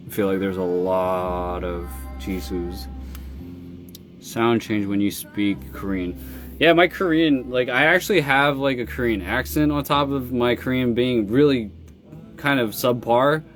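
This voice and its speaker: A man with a low voice talks loosely into his phone during a live stream, answering fans in a laid-back, rambling way. Faint music plays behind him. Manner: laid-back, lots of fillers and laughs. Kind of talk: casual live-stream chat reading fan comments.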